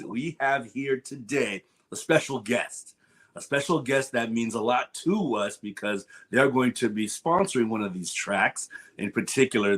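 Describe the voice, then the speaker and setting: A man's voice: mid-range voice, a bit bright. A man hosting a sponsor interview for a conference, upbeat and emphatic, often repeating his phrases. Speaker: interview host. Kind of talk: enthusiastic conference promo interview.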